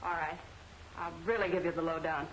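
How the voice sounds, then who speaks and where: A woman's voice: fairly low pitch, fairly even intonation, fairly quick, a little hiss or hum, close to an everyday voice, low-quality recording. An actress playing a guarded young woman in a crime melodrama, in dramatic 1940s film serial style. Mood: agitated, urgent.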